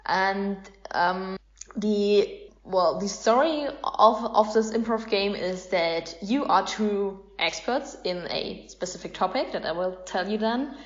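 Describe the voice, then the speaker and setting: A woman's voice: medium pitch, takes it slowly. A woman hosting a podcast in casual chat, leading an improv game. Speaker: podcast host. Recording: muffled, echoey room.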